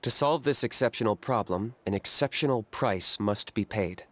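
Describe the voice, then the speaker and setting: An actor playing a cool, reserved young hunter in a video game, terse, deadpan and matter-of-fact. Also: male voice, on the low side, normal speed. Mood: cool, measured.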